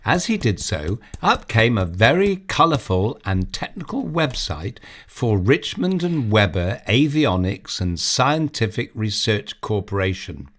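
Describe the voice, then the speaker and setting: Male narrator for a children's audio story: unhurried, lively in pitch, expressive and measured. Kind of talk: storybook reading.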